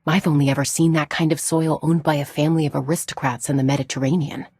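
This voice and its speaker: A woman's voice: sits low, fairly even intonation, quick pace, dark tone, breathy edge. A woman playing a composed, reserved female scholar in video game dialogue, speaking precisely.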